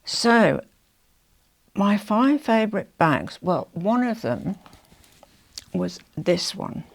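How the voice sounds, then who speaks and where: An older woman with a croaky, hoarse throat, chatting casually to camera as a fashion vlogger, rambling with confiding asides. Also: mid-range voice, big pitch swings, very slow. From England.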